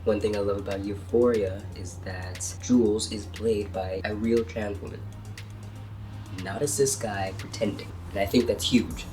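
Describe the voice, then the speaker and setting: A young man speaks low and earnestly in his twenties, his voice thick and congested from a cold. He sits a little back from the mic, and soft music plays under him. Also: recorded from a distance, poor audio. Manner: earnest, heartfelt, reflective. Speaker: vlogger. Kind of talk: personal vlog talk to camera.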